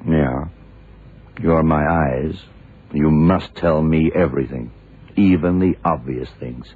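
Tinny old radio drama, commanding detective, husky, slow and deliberate, gruff mentor. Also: male voice, a bass voice, exaggerated voice. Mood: explaining, deliberate. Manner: deliberate, explaining, authoritative. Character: blind American male detective, sharp, gruff, commanding mentor. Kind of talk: 1970s radio drama acting.

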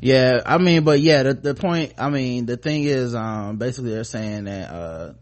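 An American man co-hosting a podcast, casually talking through the news and reading bits of articles in a halting, informal way. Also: mid-range voice. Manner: informal, halting, often trailing off mid-thought. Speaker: podcast co-host.

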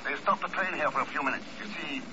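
Actor as suave high-pitched male agent, noisy radio. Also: fast talker, lightly acted. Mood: cool, wry. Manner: wry, unruffled. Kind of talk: 1950s radio drama acting. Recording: muffled, noisy old radio recording.

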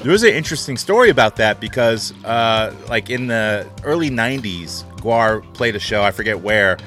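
A man co-hosting a laid-back podcast, talking about toys and shows with plenty of swearing. His voice sits a little low but comes out bright and forceful, and he rambles on with easy enthusiasm. A faint bed of music runs behind him. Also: moderate pace. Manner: swears a lot, excited, rambling storyteller. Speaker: podcast co-host. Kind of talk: casual podcast chat.

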